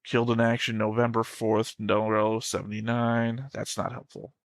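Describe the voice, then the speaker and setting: A man's voice: fairly low pitch, little pitch movement, moderate pace, creaky, dry recording. A man in a casual fan discussion as a show co-host, talking in a pedantic, insistent way.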